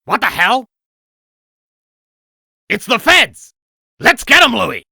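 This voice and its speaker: A man voicing a brash, threatening mafia hitman in a comic dub, with a strained, gravelly shout. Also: extremely high pitch, very animated, takes it slowly, no echo, studio quality, exaggerated voice. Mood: alarmed aggression. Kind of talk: comic book dub acting.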